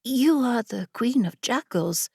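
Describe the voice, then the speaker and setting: A woman voicing an eager, curious female story-seeker in a fantasy audiobook, earnest and excitable. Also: a little high, slow, creaky, breathy edge, no room sound, clean studio sound, exaggerated voice. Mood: eager, hesitant.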